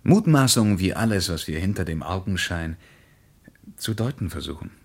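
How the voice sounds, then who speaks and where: An actor playing a reflective traveller who recounts a journey in measured, deliberate words in a literary radio play. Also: male voice, deep voice, expressive.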